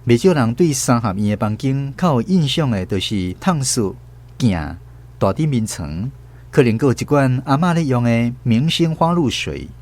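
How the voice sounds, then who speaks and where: A man's voice: medium pitch, expressive, normal speed, a little mellow. A man with a Taiwanese accent hosting and narrating a radio storytelling programme from a script. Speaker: radio host and drama narrator.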